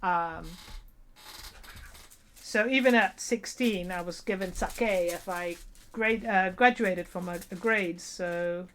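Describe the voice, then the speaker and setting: A woman's voice: lowish voice, slow pace, fairly bright tone, a little hiss or hum. A woman in her forties chatting casually on a live stream while sewing, rambling and laughing at herself. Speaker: cosplay streamer.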